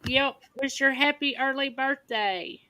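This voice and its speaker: A woman's voice: high-pitched, a little slow, bright, forceful tone, poor audio. A woman, hosting a casual livestream chat and laughing easily. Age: 50s. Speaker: livestream host.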